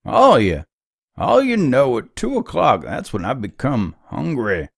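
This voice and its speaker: A man playing a surly, demanding, foul-mouthed character in an audio drama, grumbling with a gravelly, husky voice. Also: mid-range voice, wildly swooping pitch, unhurried, no echo, studio quality, heavily acted. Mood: grumpy, demanding.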